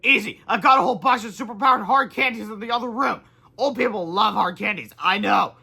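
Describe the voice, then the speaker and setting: A man's voice: high voice, a little fast, gravelly, sharp tone, husky, voice pushed up, exaggerated voice. A man voicing scheming villain boys in a children's storybook read-aloud. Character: scheming cartoonish villain boys from a backward universe.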